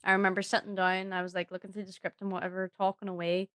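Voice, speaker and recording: A woman's voice: medium pitch, monotone, fast talker, fairly bright tone, dry recording, studio quality. A woman telling anecdotes in an enthusiastic, informal way as a podcast guest. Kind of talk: casual chat, anecdotes.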